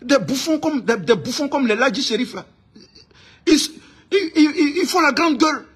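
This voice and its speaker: A man from Ivory Coast with an Ivorian accent and a husky voice, delivering an informal, indignant political rant, emphatic and repeating his phrases insistently. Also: very high-pitched, lively intonation, moderate pace, fairly bright tone. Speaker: online political commentator.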